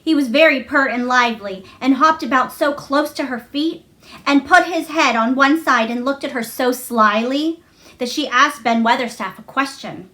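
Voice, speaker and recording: A woman's voice: sits high, normal speed, sharp tone. A woman narrating a children's novel aloud as a storybook reader.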